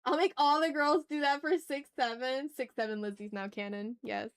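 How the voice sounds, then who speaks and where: A woman's voice: high voice, steady tone, moderate pace, clear, resonant tone. A woman hosting a casual drawing livestream, playfully chatting with viewers and thinking aloud as she works. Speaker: art livestream host.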